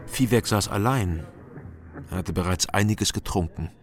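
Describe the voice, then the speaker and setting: An actor playing a wary, sober former drinker and amateur investigator in a radio drama, speaking in a dry, restrained way. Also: male voice, sits low, normal speed, close to an everyday voice. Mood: dry narration. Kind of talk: radio drama acting with first-person narration.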